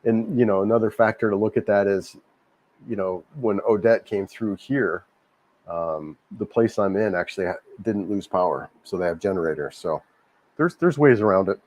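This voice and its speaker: A man hosting a casual livestream, a little hoarse from a cold, rambling and repeating himself as he answers viewer comments. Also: on the low side, normal speed, a little mellow. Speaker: livestream host. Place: home in Cebu, Philippines.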